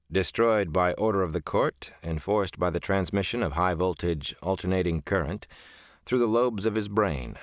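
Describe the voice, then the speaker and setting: Low-voiced man narrating an audiobook. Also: no room sound, studio quality. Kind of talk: scripted first-person literary audiobook narration.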